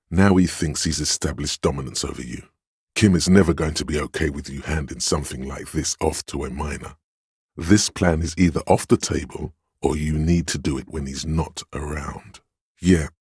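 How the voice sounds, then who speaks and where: A domineering inner voice from a video game, with an extremely low, resonant pitch. He speaks in a grave, measured, pompous way, as if issuing orders. The sound is dry and close. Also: breathy edge, no room sound, heavily acted. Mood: commanding. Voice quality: very deep, resonant. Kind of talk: scripted game narration, inner-voice commentary.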